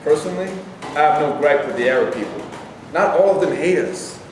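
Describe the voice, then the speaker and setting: A man playing a level-headed, easygoing soldier in an amateur staged play reading, measured and plainspoken. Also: on the high side, natural voice. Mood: calm. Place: echoey hall, away from mic. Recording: distant, echoey hall, faint noise.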